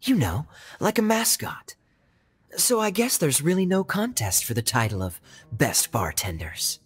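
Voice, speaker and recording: An actor playing a suave young knight in a video game, smooth, playful and teasing. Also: male voice, a little high, huge pitch swings, unhurried, heavily acted. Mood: sly teasing. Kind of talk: video game character dialogue. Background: music.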